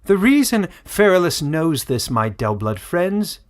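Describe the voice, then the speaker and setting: A man's voice: fairly high pitch, very animated, measured pace, voice pushed up. Man voicing a commanding, regal foreign queen. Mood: assured. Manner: commanding, theatrical. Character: regal, commanding tall foreign queen, self-assured woman.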